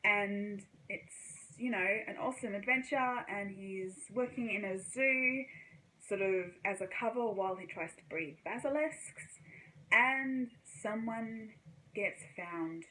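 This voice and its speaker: Bright-voiced young woman chatting about books. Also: medium pitch, slow pace, slight background noise, poor audio. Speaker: book reviewer. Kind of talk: casual book wrap-up chat to camera.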